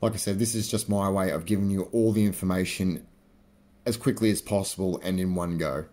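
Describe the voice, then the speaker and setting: A man in his thirties with an Australian accent, chatting casually to camera about fishing lures, relaxed with frequent fillers. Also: deep voice, fairly quick. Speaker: fishing vlogger. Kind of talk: casual explanatory talk to camera. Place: indoors by a garage door.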